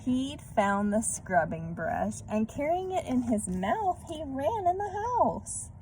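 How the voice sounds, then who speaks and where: Woman, children's read-aloud host, mellow tone, higher voice, gentle questioning manner, faint ambient noise. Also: sing-song, slow, poor audio. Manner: gentle, asks listeners tag questions. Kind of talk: storybook read-aloud with asides to young listeners. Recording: background noise.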